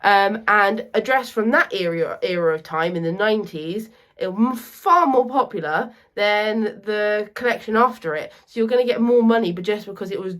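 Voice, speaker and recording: A woman's voice: mid-range voice, fairly bright tone. A woman from the UK, a luxury reseller co-hosting a vlog, explaining pricing in a practical, instructive way. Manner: practical, instructive, quick asides. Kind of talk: casual explaining to camera.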